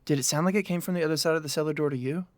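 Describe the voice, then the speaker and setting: A man's voice: a little high, very fast, heavy background noise, close to an everyday voice. A young man acting as a stubborn game master in a naturalistic audio drama. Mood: uneasy, insistent.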